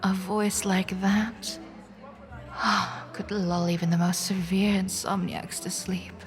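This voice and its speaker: A woman's voice: mid-range voice, flat delivery, slow, lightly acted. A woman playing an anxious, sardonic young woman, narrating and acting in a first-person romance audio drama. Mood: smitten. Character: anxious, sardonic young woman, broke and flustered, defiant underneath.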